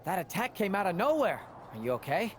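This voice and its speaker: A wheedling, self-important show booker, high-voiced and chirpy. His pitch bounces around like a salesman's in game cut-scene dialogue, with faint noise behind. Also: very animated, brisk, fairly bright tone. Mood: concerned, pitching.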